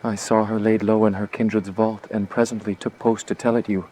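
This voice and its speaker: Low-voiced, sorrowful loyal manservant played by a man. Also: flat delivery, a little fast, dark tone, close to an everyday voice, low-quality recording. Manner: deferential, solemn. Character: loyal, sorrowful manservant bearing bad news. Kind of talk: theatrical Shakespearean radio drama acting. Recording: faint background noise.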